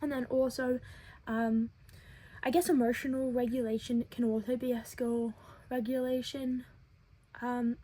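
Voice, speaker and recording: A young woman with a childlike head voice, telling her own story in an earnest, thoughtful vlog with frequent filler pauses. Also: on the high side, mellow. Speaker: web series creator.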